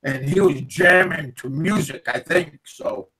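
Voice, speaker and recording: A man's voice: a little high, measured pace, fairly bright tone, slightly rough. A man on a video call telling a rambling, self-mocking story as the show's guest. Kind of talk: casual group video call.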